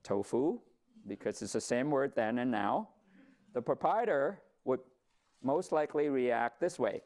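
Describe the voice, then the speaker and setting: A man's voice: medium pitch, lively intonation, a little slow, darkish tone. A middle-aged man from Vietnam, a company founder, giving a conversational talk with light humor and occasional fillers. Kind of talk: presentation to an audience.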